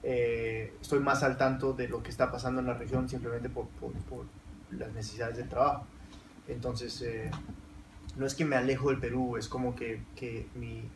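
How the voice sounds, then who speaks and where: A man's voice: mid-range voice, level tone, normal speed. A man with a Peruvian accent answering questions on a university panel, thoughtful, with frequent restarts and fillers. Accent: Peruvian Spanish. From Peru. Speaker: panel guest writer. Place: university lecture room, seated at panel table. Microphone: table stand mic heard from far camera. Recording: roomy, distant, faint hiss.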